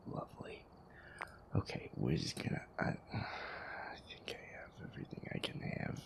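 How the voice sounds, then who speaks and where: A man playing a feisty, sweary werewolf boyfriend who grumbles his way through a horror game in an audio roleplay, his voice breathy and gravelly. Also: moderate pace, in a whisper, lightly acted. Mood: wary, muttering. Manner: exasperated, sweary grumbling, reading game text aloud. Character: feisty werewolf boyfriend, grumpy, sweary, easily scared gamer.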